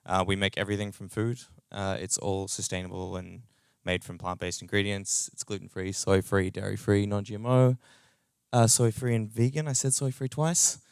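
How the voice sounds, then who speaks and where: A man with a New Zealand accent speaks in a fairly low, smooth voice that is captured very dry and close. He presents informally as a company co-founder, in a loose, slangy way with plenty of hedging. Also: flat delivery, no echo. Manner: casual, halting, slangy, hedging with "like" and "sort of". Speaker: startup co-founder, tech and growth executive. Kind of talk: informal conference talk.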